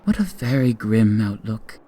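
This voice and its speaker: Sly male lunatic servant, lowish voice with big swoops, taunting and falsely courteous, for gothic radio play. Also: expressive, measured pace, dark tone. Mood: taunting, evasive. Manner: theatrical, mock-polite, taunting drawl. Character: sly, mocking asylum lunatic, devoted servant to a dark master. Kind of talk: audio drama acting.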